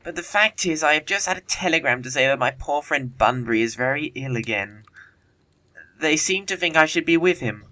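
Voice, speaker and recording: Man as witty idle young gentleman, glib, theatrical, very animated pitch, bright tone, audio comedy. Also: brisk. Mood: feigned politeness. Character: flippant, witty young gentleman bachelor, playfully lazy. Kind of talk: audio play comedy of manners.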